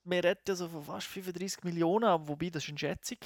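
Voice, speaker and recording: A man talks games in a relaxed, enthusiastic review chat, his phrasing loose and rambling. His voice sits on the higher side for a man, and the recording is dry and close. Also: rapid-fire, dry recording. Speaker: video game reviewer, co-host. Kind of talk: casual game review chat.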